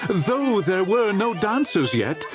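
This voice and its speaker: Wildly expressive male storyteller over music. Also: sits high, takes it slowly. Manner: theatrical, jovial, relishing lists. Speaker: narrator. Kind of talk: dramatized storybook narration.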